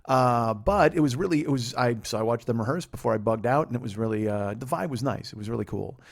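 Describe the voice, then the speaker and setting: A man's voice: medium pitch, quick pace, creaky. A man in his forties hosting a comedy podcast, talking in a loose, rambling monologue that trails off into fillers and swears freely. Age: 40s. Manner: self-mocking.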